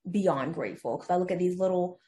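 A woman's voice: lowish voice, mellow. A young woman talking bluntly and profanely as a guest on a casual podcast. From United States. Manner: blunt, profane, fast-changing opinions.

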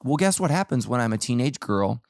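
A man's voice: mid-range voice, sing-song, fairly quick, vocal fry, darkish tone, dry recording, clean studio sound. An American man talking casually as a podcast host, telling things in a conversational, self-interrupting way. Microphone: close podcast microphone.

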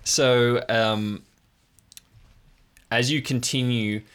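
A man improvising scene descriptions as game master of an audio drama, pausing between phrases. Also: medium pitch, steady tone, fairly bright tone, smooth.

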